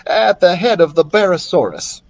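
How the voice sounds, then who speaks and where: A man voicing a kindly grown man who runs a museum, with a warm, drawn-out delivery, read into a built-in laptop mic. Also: high-pitched, very animated, gravelly, voice pushed up, full character voice.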